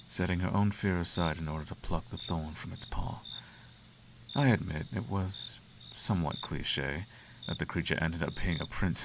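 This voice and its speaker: Guy doing an imperious flirty demon prince, slow gravelly drawl, crickets chirping behind him. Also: low voice, noisy background. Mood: smooth, musing. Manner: slow, courtly, teasing. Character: seductive, imperious male demon prince of the Abyss. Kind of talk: flirtatious roleplay ASMR acting.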